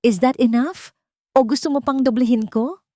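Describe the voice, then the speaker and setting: A woman's voice: sits high, normal speed, dark tone, dry recording, clean studio sound, pitched above the performer's own voice, lightly acted. A woman voicing a haughty, wealthy older matriarch in a storybook drama, cold, contemptuous and commanding. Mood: forceful taunting.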